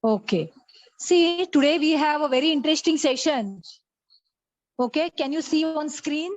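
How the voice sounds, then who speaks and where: A woman with a high voice and an Indian accent hosts an online class. She addresses the group informally in short check-in phrases. The sound is dull and lo-fi, as from a phone on an internet call. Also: normal speed. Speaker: college teacher hosting an online workshop. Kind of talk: informal spoken introduction to an online session. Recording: muffled lo-fi online call audio.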